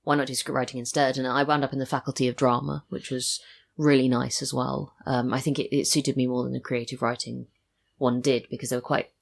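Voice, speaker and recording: A woman's voice: rumbling low, level tone, brisk, creaky. A British woman chatting casually and reflectively as a podcast guest, telling anecdotes about her work. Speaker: podcast guest, audio drama writer and voice actor. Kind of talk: casual interview chat. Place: studio.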